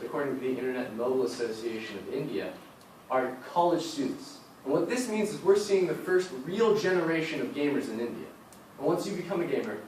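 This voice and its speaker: A teenage boy giving a confident, rehearsed business case presentation. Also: slight background noise. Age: teens. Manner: confident, upbeat pitch. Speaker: student presenter. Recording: roomy echo from far off.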